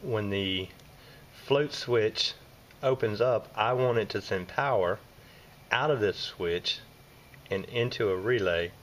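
Low-voiced Southern HVAC tech explaining. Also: male voice, slow pace, a bit bright, dull audio, a little hiss or hum. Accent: Southern American. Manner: plain step-by-step explaining with frequent uh fillers. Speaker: HVAC technician. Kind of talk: hands-on repair walkthrough. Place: indoors at a furnace in a basement or utility space. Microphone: handheld camera filming close-up work.

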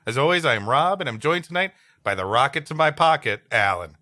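A man's voice: mid-range voice, animated, a little fast, pushed and bright, no echo, clean studio sound. A man co-hosting a comedy podcast, bantering and reading stories. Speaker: podcast co-host. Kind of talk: comic banter and story reading.

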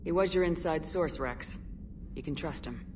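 Woman as a low-voiced, steady space commander. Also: moderate pace, background noise, close to an everyday voice. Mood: firm. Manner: composed, direct. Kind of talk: video game voice acting.

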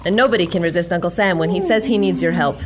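A woman's voice: fairly low pitch, fast talker, a crowd in the background, natural voice. A woman playing a brash, wisecracking young American patriot heroine with an American accent in old-time radio theater. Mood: wry narrating. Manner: brash, sardonic. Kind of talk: 1940s-style radio theater acting.

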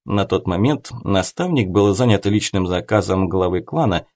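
A man with a husky voice reading first-person fiction as a scripted audiobook narration. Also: deep voice, animated, normal speed, darkish tone.

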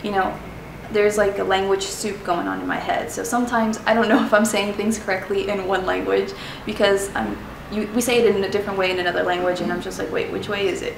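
A woman's voice: mid-range voice, little pitch movement, fairly quick, gravelly, few pauses, echoey room, far from the mic, background noise. A young woman, Spanish her first language, chatting casually to camera as she teaches travel phrases, with frequent ums and restarts. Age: young adult. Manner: chatty, self-deprecating, many ums and restarts. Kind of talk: casual explanatory lesson to camera.

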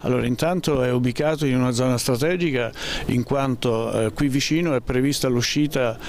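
A man, speaking haltingly as a mayor in an on-location interview. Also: medium pitch, a little mellow. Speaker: town mayor. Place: outdoors at building entrance. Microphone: handheld reporter microphone. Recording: clear background ambient noise.

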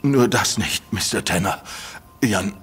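An actor playing an infected man who fears going mad, pleading in halting, stumbling bursts in a radio play. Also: a bit bright, slight background noise. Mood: desperate urgent. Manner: halting, stumbling pleas, pitch jumping when agitated. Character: infected man fearing madness, urgent and desperate.

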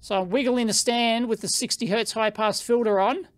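A middle-aged man with an Australian accent, reviewing gear in a casual, excitable way, thinking aloud and laughing at himself in his electronics lab on a studio microphone. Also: very high voice, moderate pace, smooth, dry recording. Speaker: electronics video host. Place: cluttered electronics lab indoors. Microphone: studio microphone on a stand.

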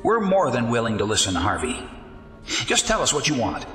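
A man's voice: mid-range voice, wildly swooping pitch, moderate pace, fairly bright tone. An actor playing an anxious, placating male city politician in an audio drama. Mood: nervous, placating. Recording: echoey hall, music behind.

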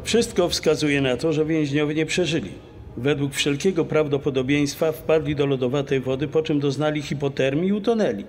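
A male investigator played by a man delivers a firm official statement in a dramatised true crime story. His voice is somewhat high. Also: fairly quick, darkish tone, close to an everyday voice. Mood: formal, firm.

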